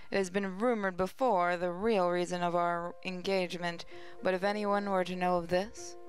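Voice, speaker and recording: A woman hosting a gaming channel, reading story narration aloud with her own asides. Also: fairly low pitch.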